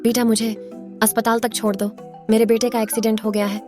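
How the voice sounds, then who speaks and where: A woman's voice: a little high, flat delivery, fairly quick, darkish tone, faint music behind, lightly acted. A woman voicing a needy old village woman in a cartoon, pleading as she speaks. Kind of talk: Hindi cartoon voice acting.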